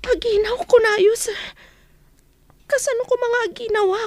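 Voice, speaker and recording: A woman's voice: very high-pitched, fairly even intonation, normal speed. A woman playing a troubled, wronged young woman in an Ilocano radio drama, plaintive and meek but protesting, with an Ilocano accent. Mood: uneasy, protesting.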